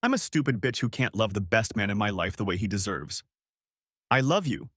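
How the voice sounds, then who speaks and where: A man's voice: fairly low pitch, very animated, a little fast, slightly rough, no echo, clean studio sound. A man voicing a distraught, overdosing young wife who pleads and sobs. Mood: anguished. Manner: sobbing, pleading, manic.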